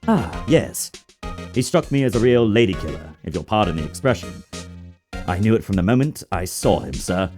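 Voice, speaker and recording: An actor voicing a self-important, morbidly eager hotel bellboy from a video game, with an obsequious, melodramatic manner. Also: male voice, lowish voice, sing-song, normal speed, a little mellow, soft background music. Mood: conspiratorial. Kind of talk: dramatized video game dialogue.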